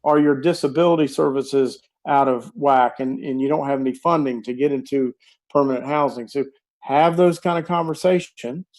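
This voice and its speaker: A man's voice: mid-range voice, steady tone, normal speed, darkish tone. A man in his forties, a guest on a video call, earnestly and carefully explaining practical steps through an earbud mic. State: losing his voice. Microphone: earbud headset on video call.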